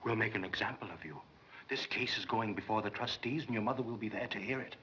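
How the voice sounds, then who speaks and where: A stern schoolmaster turned inquisitor, with a low, forceful, cutting voice. He questions imperiously and insinuates with relish, as in an old film drama. The sound is dull, with some background noise. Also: fast talker. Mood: vindictive. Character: stern bullying schoolmaster, prying and vindictive inquisitor.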